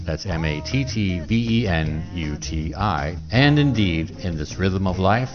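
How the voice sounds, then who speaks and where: A man's voice: low-pitched, animated, a little slow, lo-fi sound, music in the background. A man hosting a podcast, introducing the show and talking conversationally with a guest. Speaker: podcast host.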